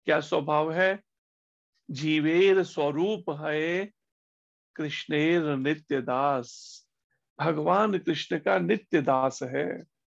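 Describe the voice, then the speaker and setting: A man giving a devotional discourse as a spiritual teacher, slowing down on key words and asking rhetorical questions. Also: on the high side, vocal fry. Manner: slow, deliberate emphasis with pauses on key words. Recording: dull lo-fi recording.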